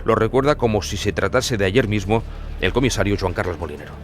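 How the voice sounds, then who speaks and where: A man's voice: lowish voice, expressive, fast, faint music behind. A man hosting a true-crime podcast with scripted narration in literary, dramatic phrasing.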